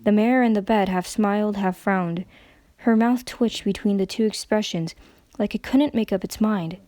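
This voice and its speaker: A woman narrates a story as a dramatic reading. Her voice is mellow and sits at a medium pitch, recorded very dry. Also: normal speed, no echo.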